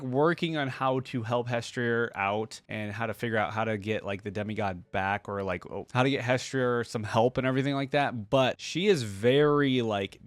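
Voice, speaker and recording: A man's voice: mid-range voice, dry recording. A man giving casual, conversational reaction commentary as a video host.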